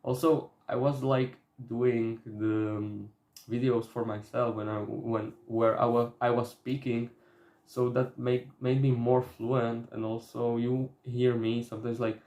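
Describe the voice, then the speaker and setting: Young man, Slovak accent, monotone delivery, hesitant and searching for words, echoey room. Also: mid-range voice. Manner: halting, many fillers and restarts. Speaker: vlogger. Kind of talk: casual talk to camera.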